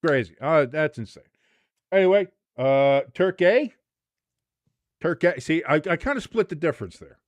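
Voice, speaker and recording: Excitable, foul-mouthed man commentating. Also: fairly high pitch, normal speed, long pauses, no echo, muffled recording. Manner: excitable, profane, jokey, shouts at big plays. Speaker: watch-along host. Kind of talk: casual live match commentary.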